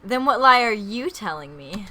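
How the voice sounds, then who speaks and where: A woman's voice: medium pitch, animated, moderate pace, sharp tone. A woman co-hosting a let's play in casual, playful chat, with a dramatic, exclamatory way of talking. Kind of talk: casual chat, playful reading of character lines. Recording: faint background noise.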